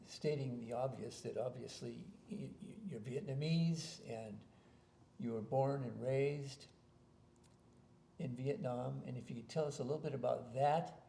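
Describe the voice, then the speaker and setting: Male host, gravelly and warm, roomy home echo, faint background hum. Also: medium pitch, measured pace. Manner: rambling, hesitant questions with many fillers. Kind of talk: casual interview at home. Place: home after dinner.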